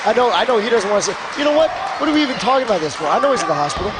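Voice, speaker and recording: A young man, a wrestler, doing color commentary at a wrestling show, hoarse from screaming and joking around. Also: high-pitched, racing. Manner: joking, excitable, rambling. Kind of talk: live play-by-play commentary. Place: wrestling arena commentary desk. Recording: loud arena crowd and noise.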